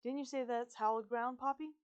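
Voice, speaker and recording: A woman's voice: fairly high pitch, fairly even intonation, brisk, clean tone, pitched above the performer's own voice, natural voice. A woman voicing an anxious, sarcastic teenage boy who blurts out nervous wisecracks in an audiobook. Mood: sheepish, uneasy. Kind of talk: audiobook character voice.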